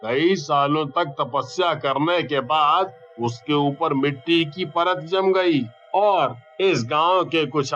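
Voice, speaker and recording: A man voicing a solemn young villager in a cartoon story, recounting things gravely. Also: on the high side, a bit bright, lo-fi sound.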